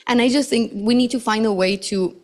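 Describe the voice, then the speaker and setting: A woman's voice: fairly high pitch, fairly even intonation, a little fast, a little mellow. A young woman in her twenties from Costa Rica, sharing her own story on a conference panel in a casual, chatty way full of fillers. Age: 20s. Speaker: patient panelist. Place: conference hall.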